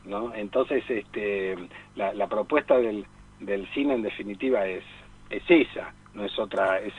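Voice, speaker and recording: Man, husky voice, phone-like sound, emphatic rambling film talk. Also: lowish voice, a little slow. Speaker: film expert guest. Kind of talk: casual radio discussion of cinema. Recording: tinny phone-like radio line.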